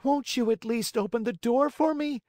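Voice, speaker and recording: A man voicing a frantic wooden puppet boy who cries out in high, breathless, beseeching pleas. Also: animated, a little slow, darkish tone, no echo, studio quality, full character voice. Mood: desperate pleading.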